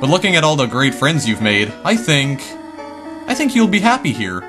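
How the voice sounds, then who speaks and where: A man voicing a gentle, motherly goat-woman queen who speaks softly and politely, with music in the background. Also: on the high side, very animated, moderate pace. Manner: soft, polite, caring.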